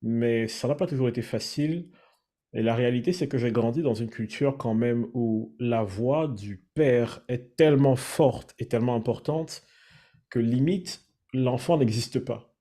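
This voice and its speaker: Mellow-voiced man, reflective. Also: mid-range voice. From Cameroon. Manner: thoughtful, measured, searching for words. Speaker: guest sharing his testimony. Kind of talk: reflective personal testimony.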